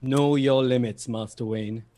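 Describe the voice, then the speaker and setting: A man's voice: mid-range voice, steady tone, measured pace, warm tone, voice pushed down, lightly acted. A man playing a dry, loyal, deferential British butler in a comic table read. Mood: wry, concerned. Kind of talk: online comedy table read.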